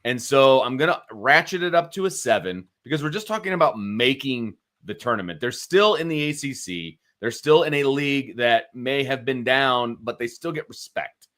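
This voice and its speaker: A man's voice: mid-range voice, fairly bright tone. An American man co-hosting a sports talk show, giving casual analysis and informally talking through his reasoning.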